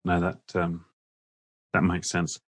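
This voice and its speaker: A man's voice: low-pitched, monotone, takes it slowly, slightly rough, no echo, clean studio sound. A man hosting an interview conversationally, informal, often restarting and adding tag questions. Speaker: interview host.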